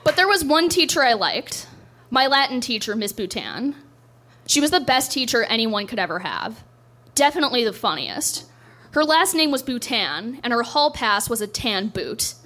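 A woman's voice: a little high, big pitch swings, normal speed, bright, forceful tone, a little hiss or hum. A young woman doing a stand-up set, telling a story in a dry, self-deprecating way on a club stage. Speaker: stand-up comedian.

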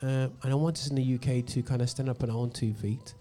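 A man's voice: medium pitch, fairly even intonation, fast talker, dark tone, soft background music. A man hosting a gospel radio show, ranting passionately with lots of fillers, recorded in a studio. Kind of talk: opinionated radio monologue.

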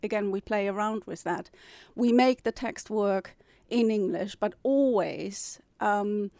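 A woman's voice: medium pitch, slow pace, a little mellow, no echo. A woman whose first language is German, a publisher and novelist, answering interview questions in a thoughtful way, with fillers and restarts.

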